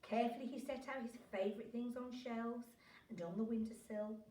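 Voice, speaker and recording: A woman reading a children's story aloud in an expressive, animated narrating style. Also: on the high side, a little fast. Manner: animated, acts out dialogue. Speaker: storybook reader for a class. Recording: roomy echo, distant from microphone.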